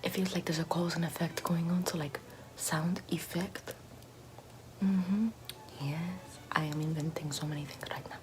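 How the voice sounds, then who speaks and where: A woman's voice: sits low, steady tone, moderate pace, noisy background, natural voice. A woman whispering as a vain, pompous aristocratic lady doing makeup in an ASMR roleplay, in a haughty, self-admiring, gossipy way.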